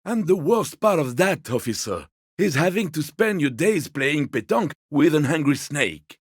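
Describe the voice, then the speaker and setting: Husky, fussy old gentleman. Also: fairly high pitch, sing-song, moderate pace, no echo, clean studio sound. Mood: peeved, sardonic. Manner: polite, wheedling, theatrically indignant. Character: genteel, fussy old man, mild, ingratiating, quietly petty. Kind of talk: video game character dialogue.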